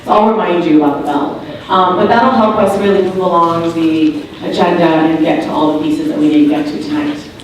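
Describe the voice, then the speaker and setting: A woman with a loud voice, patiently explaining the steps of a public meeting activity. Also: fairly low pitch, steady tone, brisk, hardly any pauses, slight background noise. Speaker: meeting facilitator. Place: large meeting room. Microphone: far room recording. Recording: echoey room from far off.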